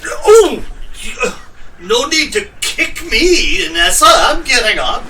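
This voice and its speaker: A man's voice: extremely high pitch, sing-song, takes it slowly, distant mic, noisy background, heavily acted. A man playing a timid, flustered disgraced sea captain in a fantasy audio drama, hesitant and stammering. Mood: groggy, flustered.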